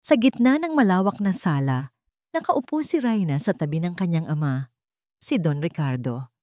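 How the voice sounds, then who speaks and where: A woman's voice: on the low side, lively intonation, moderate pace, mellow, dry recording, studio quality. A woman with a Filipino accent narrating a scripted dramatic story.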